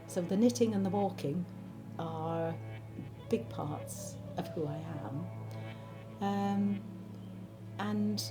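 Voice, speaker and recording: A woman, thoughtfully reminiscing in a documentary interview. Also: mid-range voice. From Wales. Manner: thoughtful, unhurried, warmly reminiscing. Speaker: interviewee, spinner and knitter. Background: background music.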